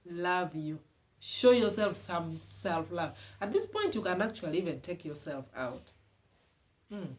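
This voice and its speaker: A woman's voice: fairly low pitch, darkish tone. A woman with a Ugandan accent giving earnest heart-to-heart advice to her viewers, often repeating phrases for emphasis. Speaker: vlogger giving relationship advice. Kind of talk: casual advice talk to camera.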